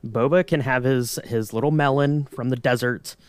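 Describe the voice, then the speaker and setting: A man's voice: medium pitch, steady tone, normal speed, clean tone, no room sound. A man co-hosting a podcast, chatting casually and playfully in mock-serious fan banter. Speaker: podcast co-host.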